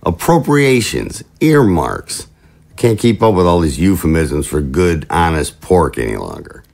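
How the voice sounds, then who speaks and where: A man's voice: low-pitched, big pitch swings, unhurried, gravelly, darkish tone, full character voice. A man playing a jovial, scheming political chief of staff in an audio drama, with a glib, jocular, theatrical manner. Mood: jovial, teasing.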